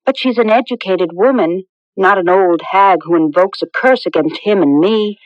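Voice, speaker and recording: An actress playing a confident, outspoken young bride-to-be in a 1980s radio drama, brisk and skeptical. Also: female voice, medium pitch, no room sound, lightly acted. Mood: challenging. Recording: muffled old radio broadcast.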